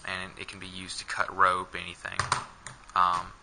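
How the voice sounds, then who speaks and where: A man's voice: deep voice, monotone, moderate pace, vocal fry, background noise. A young man filming himself indoors with a head-mounted camera, casually walking through his camping gear with many ums and uhs. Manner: hesitant, many fillers. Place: small indoor room.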